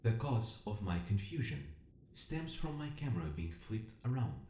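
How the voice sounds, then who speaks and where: A man voicing a damaged, logical robot narrating an audio drama in a measured, formal, clinical and precise manner. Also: on the low side, big pitch swings, mellow, reverberant, slight background noise. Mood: calm, methodical. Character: damaged military robot awakening, logical, methodical, quietly curious.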